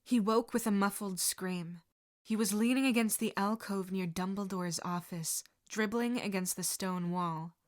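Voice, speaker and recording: A woman narrating fan fiction as a podfic reader, in a calm, even reading voice. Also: mid-range voice, measured pace.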